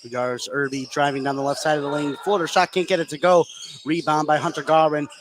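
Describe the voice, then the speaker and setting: An American man giving live high school basketball play-by-play in an energetic, clipped running style. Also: fast. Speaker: play-by-play commentator. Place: indoor basketball gym, courtside broadcast. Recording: clear courtside gym noise.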